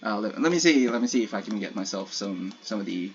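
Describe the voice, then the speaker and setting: A man's voice: on the low side, lively intonation, fast talker, a little hiss or hum. Hesitant young man, slightly muffled mic. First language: Ilocano. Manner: hesitant, many restarts and self-corrections, laughs at himself. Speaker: solo podcaster playing a block-building game. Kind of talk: casual rambling commentary while gaming.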